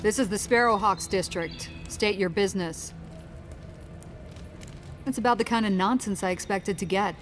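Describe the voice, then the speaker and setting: A woman's voice: medium pitch, moderate pace, long pauses, faint music behind, close to an everyday voice. An actress playing a stern, dismissive female guard in a video game. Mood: curt, dismissive.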